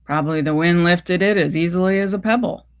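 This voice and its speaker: A woman's voice: on the low side, brisk, close to an everyday voice. A woman voicing an anxious, worn-down mother in a story reading, guarded and insistent. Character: anxious, worn-down, defensive mother isolated at home.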